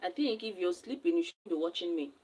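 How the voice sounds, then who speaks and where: A woman's voice: on the low side, lively intonation, fairly quick, mellow, slightly rough. A woman from Nigeria hosting a casual live stream, who has just woken up and reads viewer comments aloud and answers them directly. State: just woke up.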